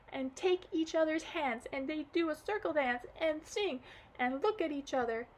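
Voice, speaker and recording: A woman reading a storybook aloud and voicing a dramatic, tearful little girl who sobs out her complaints. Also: rough recording. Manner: high, sobbing, wailing delivery.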